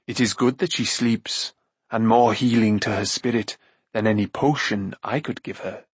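A man's voice: fairly low pitch, fairly even intonation, slightly rough, dry recording. Man voicing a grave, noble warrior prince. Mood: solemn, gentle. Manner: measured, solemn, gentle.

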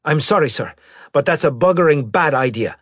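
Guy doing a cocky young-sounding pilot for an audiobook, higher pitched, swoopy, urgent and cheeky. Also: lively intonation, fairly quick, fairly bright tone, no echo, studio quality. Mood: urgent, determined. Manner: cheeky, urgent, salty military talk. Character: brash, excitable male ace pilot. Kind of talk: audiobook character acting.

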